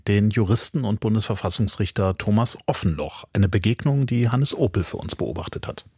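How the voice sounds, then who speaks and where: A man's voice: fairly low pitch, brisk, a little mellow, husky, no echo, clean studio sound. A man reading a scripted introduction as a radio presenter.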